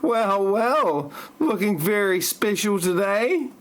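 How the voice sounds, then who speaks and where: An amateur actor, a man playing an awkward, melodramatic character with stilted, halting delivery in a parody audio play. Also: sits high, lively intonation.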